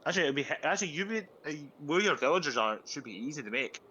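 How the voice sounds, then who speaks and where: A man's voice: on the high side, expressive, very fast, sharp tone, slight background noise, rough recording. A British man chatting casually while playing a game with friends, lightly mocking them. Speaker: guest player. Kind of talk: casual gaming chat.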